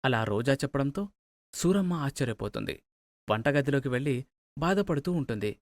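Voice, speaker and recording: A man's voice: mid-range voice, expressive, fairly quick, dry recording. A man narrating a scripted moral story.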